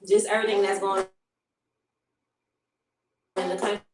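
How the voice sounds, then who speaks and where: A woman from Queens, New York, a guest talking thoughtfully and with many pauses in a casual conversation. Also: medium pitch, little pitch movement, darkish tone, recorded from a distance. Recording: roomy sound from off mic.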